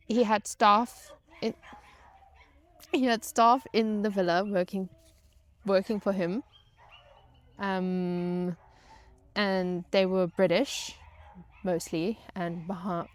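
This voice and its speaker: A woman vlogger telling personal stories casually, in a halting, chatty way with wry exaggeration. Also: mid-range voice. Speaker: vlog host telling stories.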